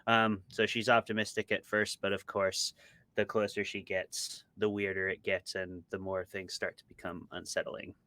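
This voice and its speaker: Bright, crisp-voiced man in casual interview. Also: deep voice, level tone, normal speed. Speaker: interviewed author, podcast co-host.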